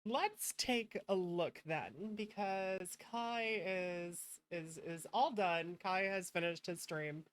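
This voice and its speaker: A woman's voice: lowish voice, slow, few pauses. A woman streamer chatting casually to her viewers in an affectionate, effusive and thankful manner. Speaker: streamer hosting a live stream. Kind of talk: casual livestream chat to viewers.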